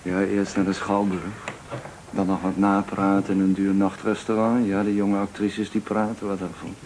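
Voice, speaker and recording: A man's voice: sits low, monotone, moderate pace, darkish tone, close to an everyday voice. A man playing a grumbling, mocking office clerk with a ladykiller's reputation in a radio play. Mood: nonchalant. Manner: sardonic, complaining. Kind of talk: Dutch radio play acting. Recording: noisy old radio recording with clicks.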